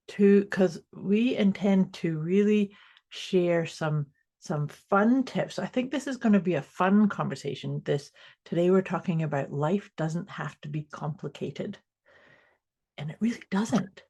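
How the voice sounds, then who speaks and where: Female podcast co-host, mellow tone, warm encouraging chat. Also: lowish voice, measured pace, breathy edge. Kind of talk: casual chat.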